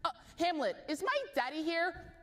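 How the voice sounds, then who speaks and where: A man's voice: very high-pitched, animated, moderate pace, bright, forceful tone, voice pushed up, exaggerated voice. A man voicing a sassy young woman who talks in slang. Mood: distraught. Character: young woman, scheming then distraught girlfriend.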